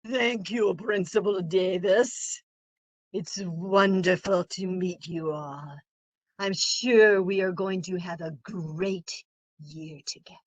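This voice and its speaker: A woman voicing a mysterious vampire-like teacher with a put-on Romanian accent, slow and hushed. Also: fairly low pitch.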